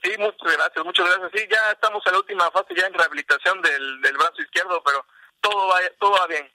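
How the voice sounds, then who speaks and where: Bright-voiced man, hesitant with fillers. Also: high-pitched, fast talker, dry recording, poor audio. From Veracruz, Mexico. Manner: hesitant, many fillers and restarts. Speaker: musician guest. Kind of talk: podcast interview, casual.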